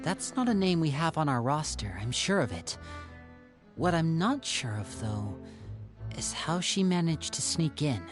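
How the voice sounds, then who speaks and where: Suave young man, lively intonation. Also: a little high, measured pace, over music. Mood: wary, thoughtful. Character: suave, protective young man, a watchful elder brother. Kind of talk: video game voice acting.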